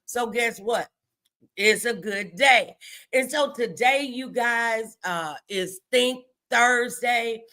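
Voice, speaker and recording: A woman's voice: a little high, slow, sharp tone. An American woman hosting a motivational morning live show, speaking in an emphatic, encouraging, faith-filled way and repeating phrases for effect.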